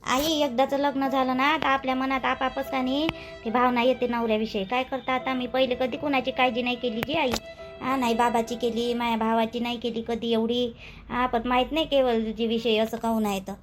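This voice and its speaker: A woman's voice: on the high side, little pitch movement, fairly quick, music in the background, natural voice. A woman playing a dutiful young village wife in a cartoon, plaintive and rambling, with a Vidarbha Marathi accent. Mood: troubled, tender. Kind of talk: homely cartoon drama dialogue.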